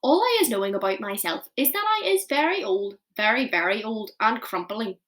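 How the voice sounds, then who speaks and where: A woman voicing a kind, very old male giant in a storybook reading, speaking slowly and emphatically with muddled grammar. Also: on the high side, lively intonation, fairly bright tone. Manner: slow, emphatic, mangled grammar.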